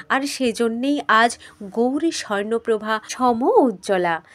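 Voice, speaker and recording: A woman narrating a scripted mythological tale as a storyteller. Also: sits high.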